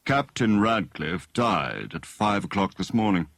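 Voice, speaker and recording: A man voicing a kind, worried elderly doctor in an audiobook, speaking in a formal, deliberate, clinical way. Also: lowish voice, very animated, vocal fry, a bit bright, no echo, clean studio sound, full character voice. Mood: grave, measured.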